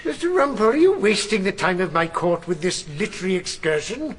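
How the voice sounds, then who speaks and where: Testy male judge: high-pitched, swooping, querulous radio-drama delivery with background noise. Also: very animated, brisk. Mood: exasperated. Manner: peremptory, querulous, exasperated. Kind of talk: radio drama courtroom acting.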